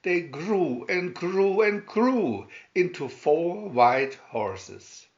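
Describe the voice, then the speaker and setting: A man's voice: high voice, sing-song, very slow, dull audio. A man narrating a fairy tale aloud from a storybook in a dramatic style.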